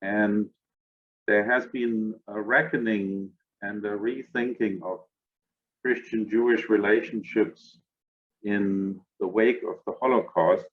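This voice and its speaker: This is an older man with a clear German accent, speaking slowly in a low register. He answers reflectively and often stops to gather his thoughts. His voice comes through a narrow, phone-like line. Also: steady tone. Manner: measured, thoughtful, with frequent "uh" and "um" pauses. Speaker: guest, academy director.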